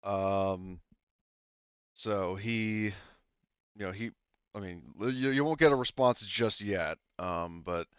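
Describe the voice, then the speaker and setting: A man acts as game master for a tabletop game, his voice low and smooth. He explains in a loose, rambling way, padded with fillers. The sound is dry and muffled, like lo-fi voice chat. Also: slow pace, long pauses, dry recording.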